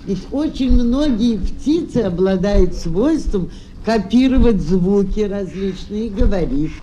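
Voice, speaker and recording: A man's voice: high voice, lively intonation, unhurried, dark tone. A man giving an educational talk to children as an animal theatre guide, affectionate and fond of diminutives, on an old record. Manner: affectionate, uses pet names and diminutives. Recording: echoey, noisy old flexi-disc recording. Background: animal sounds.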